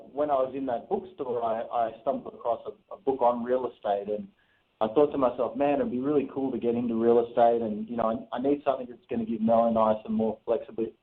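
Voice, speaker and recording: An Australian man in his thirties, chatting as a radio guest with a mid-range voice. He laughs easily as he tells his story. The sound comes through a thin, phone-like line. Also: medium pitch. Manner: chatty, laughs between phrases. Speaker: guest, real estate investor. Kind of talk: casual radio interview storytelling. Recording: phone-line interview audio.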